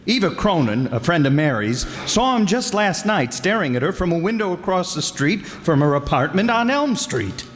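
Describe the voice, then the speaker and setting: Radio detective hero, male, actor in role: wisecracking, confident, higher-set lively voice, hall reverb. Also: normal speed, few pauses. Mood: urgent explaining. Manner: breezy, teasing, confident. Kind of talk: old-time radio crime drama acting. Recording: reverberant hall stage performance.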